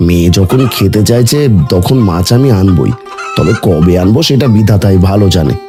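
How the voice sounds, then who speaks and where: A man's voice: sits low, lively intonation, normal speed, music in the background. A man voicing a kindly, easygoing village father in a cartoon story, homely and reassuring.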